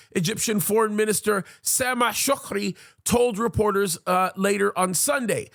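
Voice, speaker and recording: A man's voice: sits high, creaky, fairly bright tone. A man who is a pastor, reading a news article aloud and stopping to comment in an earnest, emphatic way, with frequent "uh" fillers. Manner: earnest, emphatic, leans hard on key words.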